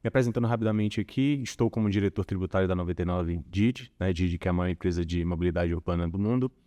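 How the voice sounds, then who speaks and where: Low-voiced Bahian man, relaxed. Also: fast talker. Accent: Bahian Portuguese. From Salvador, Bahia, Brazil. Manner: relaxed, chatty, frequent filler tags. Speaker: corporate tax director, guest. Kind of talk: casual interview conversation.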